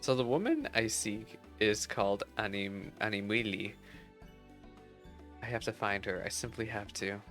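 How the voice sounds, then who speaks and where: A man's voice: on the low side, expressive, a little slow, fairly bright tone. Man voicing a cat-girl musician, proud, earnest, defensive, formal game dialogue, music behind. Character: proud, flustered female cat-girl musician, rivalry-obsessed. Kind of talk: reading game dialogue aloud.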